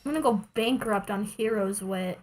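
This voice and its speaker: A woman's voice: medium pitch, moderate pace, faint music behind. A woman chatting casually as she plays a game on stream, thinking aloud with playful exasperation. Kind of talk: casual gameplay commentary to stream viewers.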